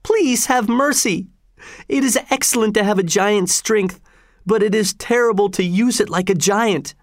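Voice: medium pitch, measured pace, no room sound, studio quality, voice pushed up, natural voice. A narrator voicing an old grandfather in an audiobook, imploring with dignity and desperation. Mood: desperate pleading.

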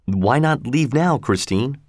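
A man's voice: mid-range voice, animated, unhurried, no echo, clean studio sound. Man acting an earnest, doubtful young nobleman. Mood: urgent. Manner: earnest, questioning.

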